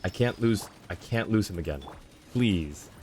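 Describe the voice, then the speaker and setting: Deep-voiced, animated man streaming a game. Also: measured pace, a little hiss or hum. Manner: playful muttered reactions to the game. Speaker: video game live streamer. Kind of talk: casual live gameplay commentary. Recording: music behind the voice. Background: music.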